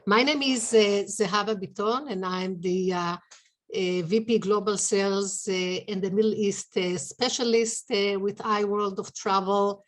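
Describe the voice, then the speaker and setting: A woman from Israel with an Israeli accent, opening a business webinar over a video call and speaking haltingly with many fillers and restarts. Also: medium pitch, steady tone. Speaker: webinar host, sales executive.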